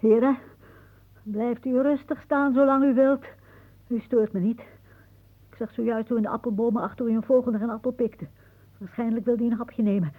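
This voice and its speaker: A woman's voice: fairly high pitch, fairly even intonation, normal speed, lightly acted. An actress playing a stubborn, weary, dreamy woman in a theatrical radio drama. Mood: defiant. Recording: muffled, phone-like old radio recording.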